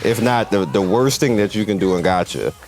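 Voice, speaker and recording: A man's voice: fairly low pitch, expressive, fairly quick, a little mellow. A man hosting a gaming channel, giving casual, off-the-cuff commentary in a blunt, opinionated way over gameplay. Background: game music.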